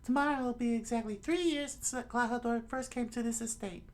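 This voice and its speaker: A man voicing a gentle, polite young lady of a mansion in an airy falsetto, reading a comic story. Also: fairly quick. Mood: grateful. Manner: polite, sweet.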